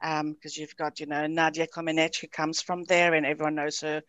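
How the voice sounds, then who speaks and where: A woman's voice: deep voice, flat delivery, a little fast, a bit bright, dry recording. A woman from Romania, an author being interviewed on a podcast, chatting with lots of fillers and frequent laughter. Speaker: author guest.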